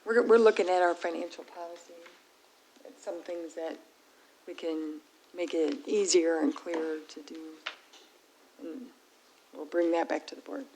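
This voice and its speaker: Board chair, woman, slightly low voice, going hoarse, brisk procedural delivery. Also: a little mellow, slight background noise, poor audio. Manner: brisk procedural calls for votes. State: losing her voice. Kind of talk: running a public meeting. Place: township board meeting room.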